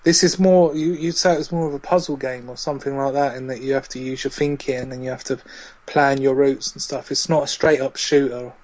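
Teasing podcast co-host, slightly dull sound. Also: male voice, medium pitch, steady tone, brisk, darkish tone. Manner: teasing, easygoing. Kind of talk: casual chat.

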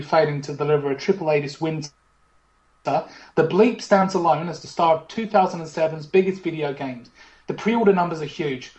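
A man's voice: fairly high pitch, a little mellow, dull audio, natural voice. A man voicing a cocky male game show host with a put-on Australian accent, parodying a TV quiz show in a roomy room with faint music. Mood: dramatic reading. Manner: cocky, blustering, showy. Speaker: podcast host.

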